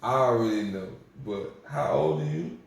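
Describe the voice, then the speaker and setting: A man leads a relaxed interview, teasing his guest and pressing him with follow-up questions. His voice sits in a middle range. He sounds far from the mic in a strongly echoing room. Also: medium pitch, moderate pace, gravelly, a little hiss or hum. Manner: playful, keeps asking follow-up questions. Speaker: host. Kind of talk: casual interview chat. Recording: echoey room, distant from the mic.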